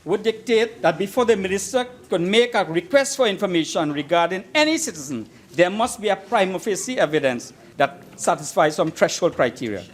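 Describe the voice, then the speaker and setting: A man speaking as a member of parliament in a formal debate, making his points emphatically and deliberately and repeating phrases for weight. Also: high-pitched, lively intonation. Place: parliament chamber.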